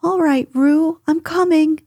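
A woman's voice: fairly high pitch, takes it slowly, warm tone, husky, no room sound, clean studio sound. Woman playing an earnest, kind young boy. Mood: urgent, curious. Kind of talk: children's storybook character voice.

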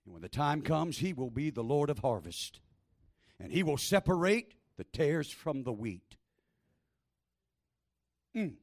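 A man preaching a fervent sermon in a small church, repeating phrases and building to shouted exhortation over a handheld microphone. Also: fairly high pitch, sing-song, measured pace, pauses often. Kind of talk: Pentecostal-style sermon.

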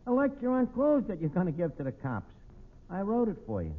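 A slangy New tavern schemer in a 1940s radio comedy. His high voice rises and falls freely as he hems and wisecracks in cocky, broken grammar. The sound is a muffled old broadcast. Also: wildly swooping pitch, brisk, exaggerated voice. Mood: coaxing. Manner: hesitant hemming, mangled grammar, wisecracking.

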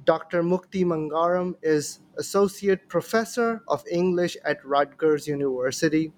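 A man's voice: on the high side, moderate pace. A man hosting a podcast in a formal, courteous manner, reading a prepared introduction and putting questions to a guest. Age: adult, professor. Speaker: podcast host. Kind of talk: scripted introduction and interview hosting. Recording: noticeable background noise.

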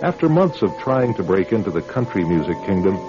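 Deep male announcer, formal, dramatic, suspense narration, music behind, muffled recording. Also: sing-song, fairly quick. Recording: dull radio recording over music.

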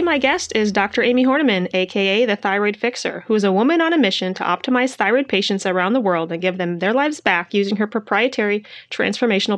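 A woman hosting a podcast, sounding a bit congested from a cold, reading an upbeat scripted intro before chatting with her guest. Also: medium pitch. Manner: upbeat, promotional. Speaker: podcast host. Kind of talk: scripted intro, then casual interview.

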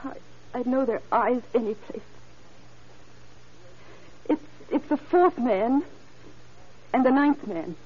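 Grieving female witness, high pitch, intense and dramatic, vintage radio play, dull lo-fi sound. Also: animated, slow pace, long pauses, slightly rough, exaggerated voice. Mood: grieving, distressed. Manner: emotional, dramatic. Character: distraught grieving woman witness, anguished and vengeful. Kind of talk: 1930s radio acting. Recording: muffled 1930s radio recording.

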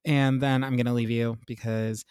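A young man hosting his own podcast in a casual, upbeat, self-mocking chat, a little congested and clearing his throat. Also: medium pitch, level tone, moderate pace, a little mellow, no echo, studio quality. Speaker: podcast host.

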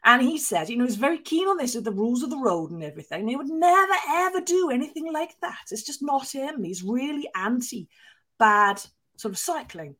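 Woman doing a smooth Welsh spin doctor man, placating, higher and livelier than her own voice. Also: sing-song, fairly quick, sharp tone, heavily acted. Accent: Welsh, put on.

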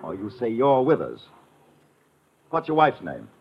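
A man playing a suave, testy agent in an old radio adventure, smooth and theatrical. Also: medium pitch, wildly swooping pitch, unhurried, heavily acted. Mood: indignant. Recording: muffled, noisy vintage radio recording.

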